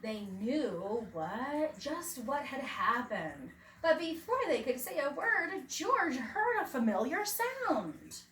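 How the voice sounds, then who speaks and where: Female storytime reader, high lively voice, expressive teacher delivery, roomy sound. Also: slow pace, hardly any pauses. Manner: expressive, dramatic emphasis, asks young listeners questions. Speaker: preschool teacher reading aloud. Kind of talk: children's picture book read-aloud. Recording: roomy, distant mic, faint background noise.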